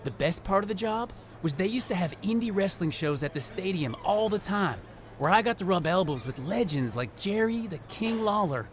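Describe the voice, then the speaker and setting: A man playing an eager, talkative young American rookie wrestler in a video game cutscene, chatty and excitable. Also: high-pitched, moderate pace, a little hiss or hum. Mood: boastful, eager.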